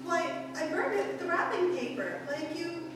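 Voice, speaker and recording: A woman's voice: very high-pitched, expressive, normal speed. A woman playing an anxious, devoted young mistress in a 1940s-style radio drama, hesitant in her delivery. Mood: puzzled, worried. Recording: distant, echoey hall, clear background noise.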